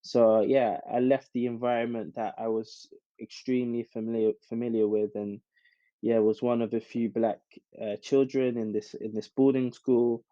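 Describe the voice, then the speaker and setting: A man's voice: mid-range voice, little pitch movement, normal speed, mellow, dry recording. A man from South London with a London accent, answering casually and reflectively as a podcast guest. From South London, England. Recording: dull, dry recording with music.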